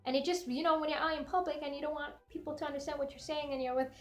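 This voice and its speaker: Casual vlogger: young woman, Somali first language, higher voice, animated and opinionated, music playing underneath. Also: very fast. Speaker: vlog host. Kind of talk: casual chatty vlog. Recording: light music bed behind voice. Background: background music.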